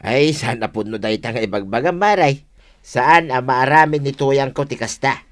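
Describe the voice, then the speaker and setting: A man's voice: medium pitch, moderate pace, lightly acted. A man playing a moody village husband in a comedy radio drama. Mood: suspicious.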